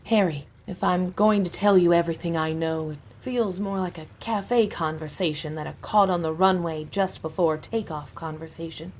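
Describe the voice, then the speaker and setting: A woman as a low-voiced, cool femme fatale. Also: normal speed, few pauses, a little mellow, slight background noise, natural voice. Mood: cool, evasive. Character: cool, evasive femme fatale fleeing by plane. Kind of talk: parody of 1940s detective radio acting.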